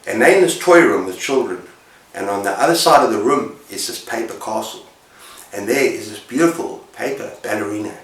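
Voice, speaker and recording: A man with a fairly low voice retells tales in a casual, emphatic way, pausing for drama. He sounds set back from the microphone in a roomy, echoing space. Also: expressive, a bit bright. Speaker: book reviewer on a video channel. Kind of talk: casual story retelling to camera. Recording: roomy echo, distant microphone.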